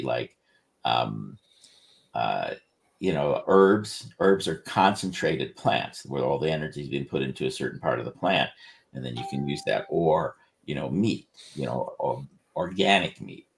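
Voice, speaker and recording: A man explaining his inventions on a casual livestream, rambling and halting with many restarts. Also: a bass voice, creaky. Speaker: inventor presenting research.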